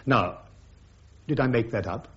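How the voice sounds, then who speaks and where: Guy acting sharp defiant british army major, english accent, clipped n ironic, dull old radio play sound. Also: mid-range voice, unhurried, lightly acted. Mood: cutting, insistent. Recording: dull, muffled radio drama recording.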